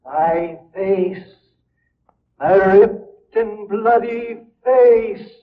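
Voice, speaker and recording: An actor playing a menacing ghost of a dead man in old radio horror, with a theatrical, ominous, drawn-out delivery. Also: high voice, distant mic. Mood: sinister, beckoning. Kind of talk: 1940s radio horror acting. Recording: muffled, hissy old radio broadcast.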